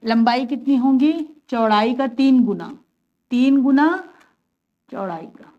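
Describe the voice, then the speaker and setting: Woman, maths teacher, patient, step-by-step explanation, slightly high voice. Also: slow pace, lo-fi sound. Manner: patient, step-by-step, asks and answers her own questions. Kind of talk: classroom lesson, explaining worked examples.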